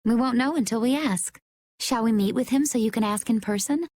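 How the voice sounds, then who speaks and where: A woman playing a composed, knowing young adventurer in a game, explaining things calmly. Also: fairly high pitch, brisk, natural voice. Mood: pensive. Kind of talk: English game dub acting.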